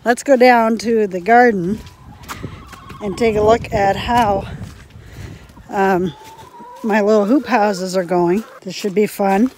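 A woman's voice: mid-range voice, steady tone, a little mellow. Giggly woman vlogging outdoors on a phone. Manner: chatty, giggles often, slow and rambling. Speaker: homestead vlogger. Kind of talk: casual handheld vlog chat. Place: outdoors in a windy snowy yard. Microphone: phone camera held at arm's length. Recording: noisy outdoor phone audio with wind.

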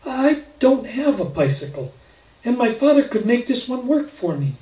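An earnest young girl from a short story , voiced by a man reading aloud, eager and stubborn. The voice is lifted high and pleads, heard in an echoey room from a distance. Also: big pitch swings, normal speed, warm tone. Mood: hopeful, pleading. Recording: echoey room, far from mic.

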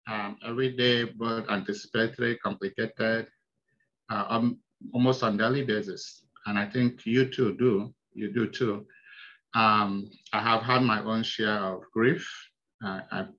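A man with a Nigerian accent presents to colleagues over a video call, pausing often with soft ums. His voice sounds bright and forward, but the call audio is dull and lo-fi. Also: medium pitch. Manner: halting, many ums, soft-spoken. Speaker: hospice chaplain. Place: small indoor room.

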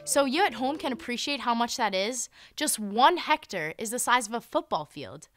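A woman's voice: a little high, lively intonation, normal speed, a bit bright, no room sound, soft background music. A teenage girl reporting in a news studio, reading scripted interview questions.

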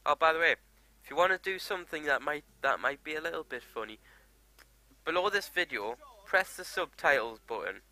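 A man's voice: on the high side, expressive, brisk, bright, forceful tone, dry recording, low-quality recording. A man with a mild Geordie accent giving chatty, joking let's play commentary. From Newcastle, England. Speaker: gaming YouTuber.

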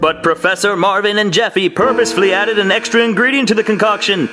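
High-pitched mock-heroic narrator, a man. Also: fast talker, natural voice. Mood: grandly playful. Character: mock-heroic cartoon opening narrator, playful. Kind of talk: parody cartoon narration. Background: music.

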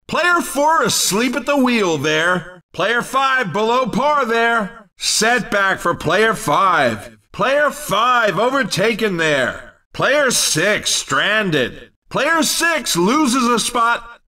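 High-pitched, hyped-up racing game commentator. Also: male voice, sharp tone, distant mic, heavily acted. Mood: cheeky, mock-sympathetic. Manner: punchy exclamations, hyped announcer delivery. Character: exuberant, cheeky male race commentator in a kart game. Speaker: race commentator.

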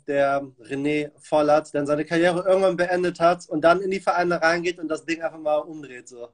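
A man with a high voice hosts a relaxed livestream interview. He talks in informal, chummy phrases, as in a casual chat at home. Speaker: host of a livestream interview show. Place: indoors, small room at home.

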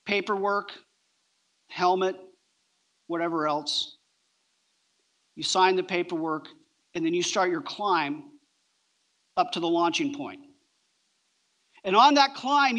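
A middle-aged man preaching a sermon on a church stage, emphatic, with deliberate pauses between short phrases. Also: high-pitched, pushed and bright. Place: large church auditorium, on stage.

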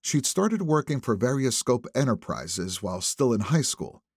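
A man narrates a thriller novel in a slightly husky voice with some creak in it. The reading is scripted audiobook narration, recorded very dry with no room around it. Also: mid-range voice, sing-song, normal speed, dry recording.

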